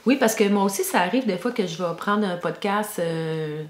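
A woman's voice: medium pitch, moderate pace. A middle-aged woman with a Québécois French accent, chatting casually as a podcast co-host. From Quebec, Canada. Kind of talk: casual chat. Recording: roomy, echoey indoor sound.

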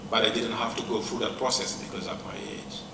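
A man's voice: fairly low pitch, distant mic. Reflective man lecturing in an echoey hall. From Senegal. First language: a language of Senegal. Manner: reflective, measured, pausing often. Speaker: professor giving a lecture. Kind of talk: personal lecture to an audience. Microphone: handheld mic, far camera. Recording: echoey hall mic with room noise.

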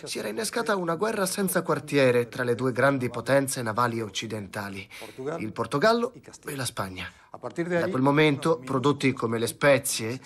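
A man in his fifties, speaking as a historian in an interview, explains events calmly and at a steady pace, in an ordinary middle-pitched voice. He is heard as a dubbed voice-over. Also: moderate pace, a crowd in the background. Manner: calm, explanatory.

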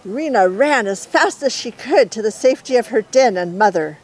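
A woman's voice: on the high side, expressive, moderate pace, a little mellow, low-quality recording. American woman in her sixties reading aloud. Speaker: author reading her picture book aloud. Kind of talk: storybook read-aloud. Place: outdoor walled courtyard in daylight.

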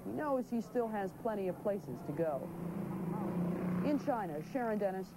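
A woman reporter narrating a news feature in a measured, wry way. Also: medium pitch, big pitch swings, heavy background noise.

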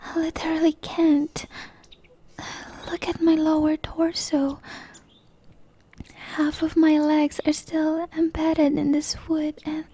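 A woman voicing a shy, innocent young tree dryad in a hesitant, breathy ASMR roleplay whisper. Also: sits high, completely flat delivery, warm tone. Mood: timid, puzzled. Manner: hesitant, halting, pleading.

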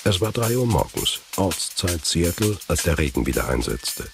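A man's voice: low-pitched, very animated, moderate pace, gravelly, over music. A man narrating a scripted German thriller audio drama as the storyteller.

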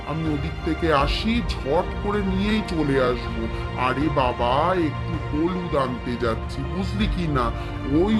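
A man's voice: fairly high pitch, lightly acted. A man voicing a devout middle-aged mother in a cartoon, fussing and explaining in a homely way.

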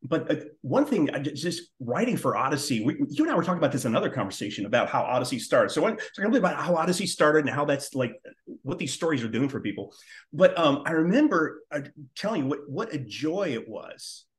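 Animated, enthusiastic male host. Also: a little high, quick pace. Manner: enthusiastic, effusive. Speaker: podcast host and interviewer. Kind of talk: casual chat. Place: living room, indoors, video call.

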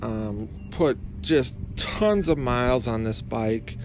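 A man's voice: medium pitch, lively intonation, takes it slowly, music in the background. A young adult man giving a casual, enthusiastic, unscripted review in colloquial speech. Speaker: vlogger reviewing vintage bikes. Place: outdoors, in a yard and driveway. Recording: outdoor handheld recording with ambient noise.

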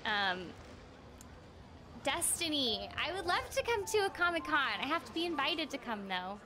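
A woman's voice: high-pitched, big pitch swings, moderate pace, background noise. A young woman chatting casually and playfully on a livestream while she plays a video game. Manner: playful, bubbly, giggly. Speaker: streamer and voice actor. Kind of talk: casual livestream chat over gameplay. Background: video game music.

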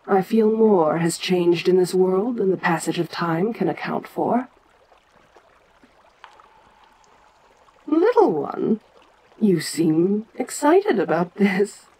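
A woman's voice: lowish voice, very animated, slow, mellow, a little hiss or hum. A woman playing an ancient giant kaiju queen in an audio roleplay, proud and curious, with a domineering, stately manner. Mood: measured, questioning. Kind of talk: dramatic audio roleplay addressed to the listener.

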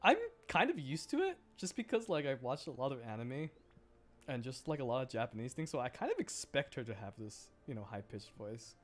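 Very animated male game streamer. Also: mid-range voice, brisk. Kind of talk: casual livestream game commentary. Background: game music.

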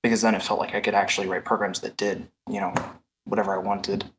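A man's voice: fairly low pitch, level tone, quick pace, noisy background. A man giving casual, explanatory answers as a programmer guest in a technical interview.

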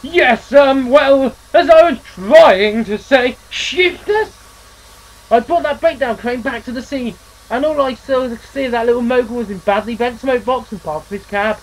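A man's voice: very high-pitched, expressive, normal speed, fairly bright tone, a little hiss or hum, voice pushed up, low-quality recording. A man voicing a cheerful, chatty male steam engine who spins folksy yarns and calls others mate. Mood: shaken.

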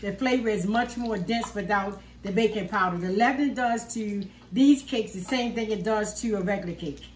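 A woman's voice: mid-range voice, moderate pace, hardly any pauses, slight background noise. An older woman hosting a home cooking video, explaining each step in a homey, easygoing way from her kitchen. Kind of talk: casual cooking demonstration. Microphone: far camera on the counter. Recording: roomy kitchen sound from a distance.

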